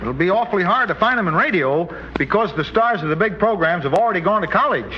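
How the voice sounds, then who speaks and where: An actor playing a dim, agreeable friend who fancies himself an intellectual, in a 1940s radio comedy. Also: male voice, sits high, animated, fast. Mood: helpful. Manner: simple, agreeable. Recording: very noisy, muffled 1940s radio recording.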